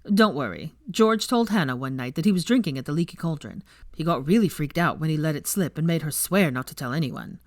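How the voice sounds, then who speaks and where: A woman's voice: low-pitched, expressive, fairly quick, dry recording, clean studio sound. A woman voicing an amiable, sheepish young man in a story reading, earnest and easygoing. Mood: reassuring.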